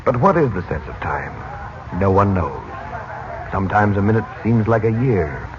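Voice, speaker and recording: A man's voice: lowish voice, sing-song, normal speed, background noise. A male actor playing a grave, mysterious magician uncle, solemn and portentous, in 1940s radio serial style. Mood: grave, guarded. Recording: muffled old radio sound, music behind.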